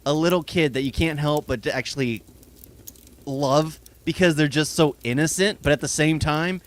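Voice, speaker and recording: A man's voice: a little high, normal speed. A young man co-hosting a podcast, casually and earnestly walking through movie scenes in detail. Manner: earnest, explains scenes at length. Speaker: podcast co-host.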